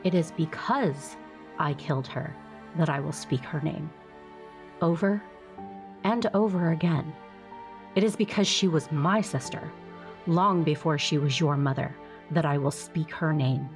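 A woman acting a composed, persistent older female ambassador in an audio drama, breathy, formal, deliberate and firm. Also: low-pitched. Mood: solemn, resolute. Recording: music under the voice.

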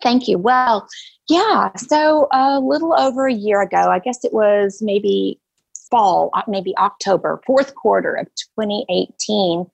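Gravelly, husky woman in her fifties. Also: mid-range voice, a little slow, poor audio. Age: 50s. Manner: relaxed, explains step by step with many fillers. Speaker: podcast guest. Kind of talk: casual interview chat.

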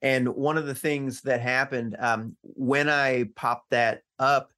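An easygoing man co-hosting a podcast, chatting casually and laughing often. His voice sits at an ordinary middle pitch for a man, and he fills his talk with ums and uhs. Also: steady tone, slow, bright, forceful tone. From Central Illinois. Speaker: podcast co-host.

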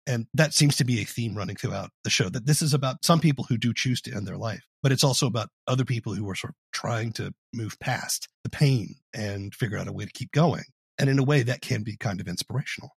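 A man interviewing on a podcast, explaining and commenting in a conversational, measured way. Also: mid-range voice, gravelly, husky, no room sound.

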